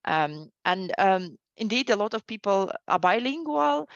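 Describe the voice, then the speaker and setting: A woman's voice: medium pitch, sing-song, unhurried, no room sound, highs cut off. A middle-aged woman, a guest historian, answering interview questions with many fillers and restarts.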